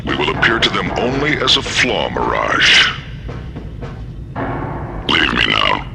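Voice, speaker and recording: A man voicing an ominous, solemn alien commander with a gravelly voice in a science fiction radio drama. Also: a bass voice, wildly swooping pitch, slow, loud music behind the voice, full character voice. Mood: solemn, menacing. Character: ominous, patient alien commander of an insect ship.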